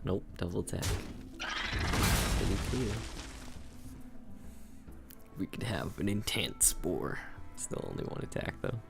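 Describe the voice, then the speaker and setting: A man's voice: sits low, big pitch swings, slow, vocal fry, long pauses. A man giving casual, laid-back commentary while playing a card game, thinking aloud and chuckling at his own jokes, with game music behind. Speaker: gameplay video creator.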